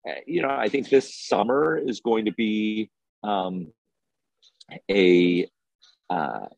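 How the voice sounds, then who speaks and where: A low-pitched man answers in an unscripted discussion. He speaks haltingly, often circling back to find his words. The sound is dry and somewhat dull, like a video call. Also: animated, gravelly, dry recording. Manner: halting and hesitant, groping for words. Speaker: film festival programmer and panel guest. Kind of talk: unscripted panel conversation.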